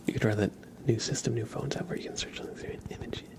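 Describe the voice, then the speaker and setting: A man hosts a casual ASMR livestream in a breathy whisper. His voice has a gravelly fry underneath. He rambles hesitantly in relaxed gamer slang, as if chatting with viewers. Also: fairly low pitch, quick pace.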